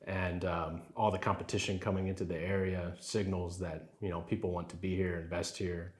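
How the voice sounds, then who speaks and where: A deep-voiced man, talking easily in an interview. He is affable and polite, fills his answers with "you know" and "yes sir," and keeps a relaxed conversational flow. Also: a little fast. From Rio Grande Valley, Texas. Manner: affable, many fillers, says yes sir often. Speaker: title company president.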